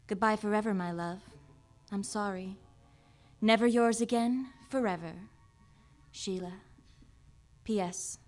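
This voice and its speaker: An actress playing a sweetly dramatic, dismissive runaway bride, reading a farewell letter in a comic radio play. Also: female voice, medium pitch, steady tone, unhurried, long pauses, soft background music, natural voice. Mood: apologetic, final. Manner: theatrical, mock-romantic.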